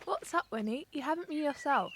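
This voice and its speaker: A woman playing a caring, sensible young English wartime worker in an audio drama, gentle and reassuring. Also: sits high, animated, brisk, background noise.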